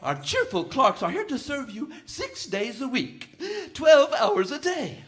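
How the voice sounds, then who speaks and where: An actor playing a jolly old merchant, hearty and proclaiming, in a live radio play. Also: male voice, very high-pitched, sing-song, unhurried, fairly bright tone, full character voice. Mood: jovial sales pitch. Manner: hearty chuckling, proclaiming salesman patter.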